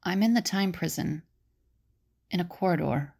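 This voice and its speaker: A woman playing a serious, earnest seer who has visions, in an audio drama, solemn and deliberate. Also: on the low side, creaky, a little mellow. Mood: entranced.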